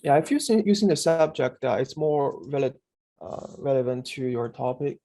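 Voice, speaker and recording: A man walks through a software demo in a halting, stop-start way, full of fillers and self-corrections. His voice is mellow and dark, sitting at an average pitch. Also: animated, creaky. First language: Chinese. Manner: halting, many fillers and restarts. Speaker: instructor demonstrating a database. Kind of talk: screen-share tutorial.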